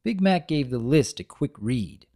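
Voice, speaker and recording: Expressive storyteller with lively intonation. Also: medium pitch, measured pace. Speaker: narrator. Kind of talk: dramatic storybook narration.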